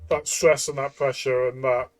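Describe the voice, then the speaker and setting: A man chatting as a game reviewer, thinking aloud in a halting way with repeated words. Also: mid-range voice, flat delivery, soft background music. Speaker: tabletop game reviewer and player. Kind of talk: casual review discussion.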